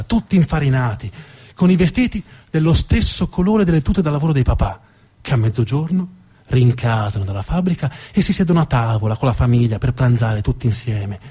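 A man's voice: mid-range voice, dark tone, slightly rough. A man performing a narrative theatre monologue, emphatic and theatrical, repeating words for effect. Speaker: storytelling stage actor.

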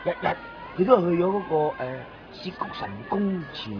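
A man's voice: high voice, sing-song, a little fast. An actor playing a chatty, scatterbrained, gushingly friendly comic man in a radio drama, with a Hong Kong accent and a rambling, exclamatory manner. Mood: gossipy. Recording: muffled radio recording, noisy crowd behind.

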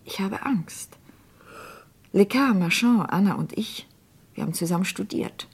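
An actress playing an anguished, probing doctor's wife in a German radio crime drama, speaking in a measured way. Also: female voice, mid-range voice, slightly rough. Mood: anxious, earnest.

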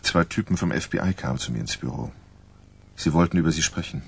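A man playing a good-natured, self-deprecating journalist in a radio drama, very husky, with a casual delivery. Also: a bass voice, quick pace, exaggerated voice. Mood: frank, modest. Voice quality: very husky, rough. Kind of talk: German radio drama dialogue.

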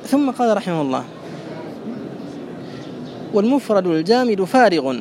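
A man with a notably high voice teaches classical grammar in a steady, methodical way. He repeats each phrase and breaks it down piece by piece. Clear traffic noise runs behind him throughout. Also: moderate pace.

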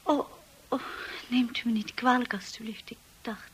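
An actress playing a shy, insecure young wife in a radio drama, with a hushed, breathy voice and a timid, hesitant way of talking. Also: female voice, on the high side, steady tone, moderate pace. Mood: nervous, apologetic. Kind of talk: radio drama narration and acting.

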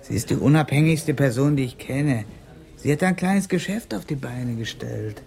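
A man's voice: medium pitch, normal speed, gravelly, a little mellow, natural voice. An actor playing an edgy, wary drug addict at the end of his tether in a radio crime drama, talking colloquially. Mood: confiding, matter-of-fact.

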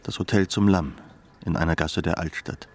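A sombre narrator with a low voice. He tells a literary tale of post-war Germany in the manner of a radio drama. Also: fairly even intonation, moderate pace. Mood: grave, measured.

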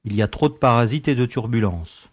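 A man's voice: mid-range voice, expressive, brisk, slightly rough, dry recording. A man playing an insistent space adventurer, dry close sound. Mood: apologetic, insistent. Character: insistent, teasing male space adventurer, loyal companion. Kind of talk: audio drama dialogue.

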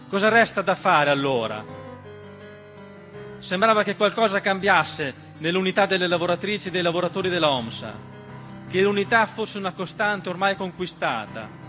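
Bright-voiced man in theatrical monologue over piano. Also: high voice. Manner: rhetorical, impassioned, addresses the listener directly. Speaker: stage monologist. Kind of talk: theatrical narrative monologue, political storytelling. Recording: piano music behind the voice. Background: piano accompaniment.

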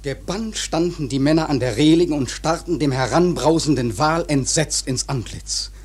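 A male actor narrating a sea story for a German radio play in a grave, measured, literary manner with a husky voice. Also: on the high side. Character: grave seafaring storyteller recounting a whaling disaster.

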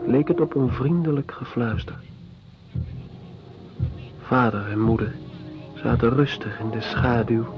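A man's voice: medium pitch, close to an everyday voice. An actor playing a tender, nostalgic man, delivering a lyrical and reflective letter as a radio drama monologue. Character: tender, nostalgic man writing to a loved one. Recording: dull lo-fi radio drama recording. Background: music.

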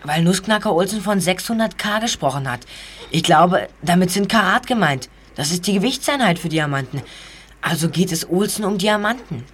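Woman playing a lowish-voiced, inquisitive boy detective. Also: expressive, moderate pace, a little hiss or hum, natural voice. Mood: keen. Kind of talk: German audio drama acting.

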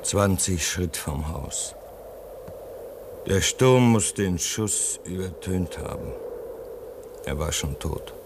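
A man's voice: deep voice, animated, slow, gravelly, pauses often, exaggerated voice. A man playing a practical, urgent younger man at a remote Arctic station in an old radio drama. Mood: somber. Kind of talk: 1950s German radio drama acting. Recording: old radio recording with noise.

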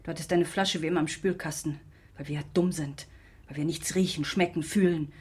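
An actress playing an anguished, reproachful sister in a radio drama, with a husky, breathy voice and a strained, grave manner. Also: female voice, low-pitched, steady tone, a little fast, a little mellow. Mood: angry, accusing.

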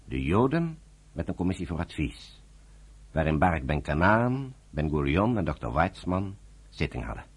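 A man's voice: deep voice, very animated, normal speed, husky. A man reading scripted narration for a Dutch radio play.

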